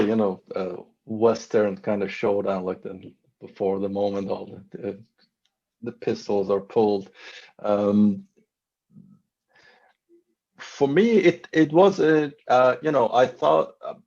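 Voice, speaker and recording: Man, late 30s, dark gravelly voice, halting with fillers, dull lo-fi call audio. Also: lowish voice. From Iran. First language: Farsi. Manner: thoughtful, halting, many fillers. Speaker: novelist guest. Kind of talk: online panel conversation.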